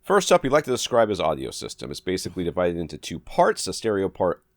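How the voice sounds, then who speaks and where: Deep male podcast co-host, animated delivery, reads listener mail, dry booth sound. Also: quick pace, dry recording. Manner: reads listener emails aloud, joking asides. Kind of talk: casual podcast chat.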